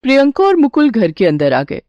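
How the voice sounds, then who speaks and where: A woman's voice: high voice, huge pitch swings, fairly quick, dry recording, highs cut off. A woman narrating a scripted Hindi moral bedtime story as a storyteller.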